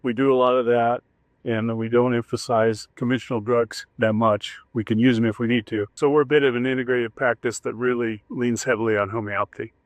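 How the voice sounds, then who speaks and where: Vet guy talking about his practice, flat monotone, dry sound. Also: medium pitch, no echo. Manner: matter-of-fact, measured. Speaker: veterinarian. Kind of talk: casual interview.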